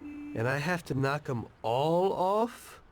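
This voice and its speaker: A man's voice: on the high side, lively intonation, slow pace, slight background noise. A man playing a reluctant young mobster in a video game, loyal but troubled, with an understated, wry, hesitant delivery. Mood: wry, wary. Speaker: mobster protagonist, former taxi driver.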